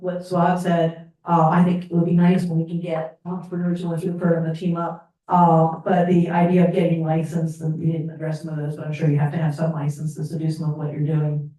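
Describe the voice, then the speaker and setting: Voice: medium pitch, little pitch movement, brisk, mellow. An event organizer giving meandering advice to a presenter. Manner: meandering with fillers. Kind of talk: commenting at a pitch event. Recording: echoey hall, distant mic.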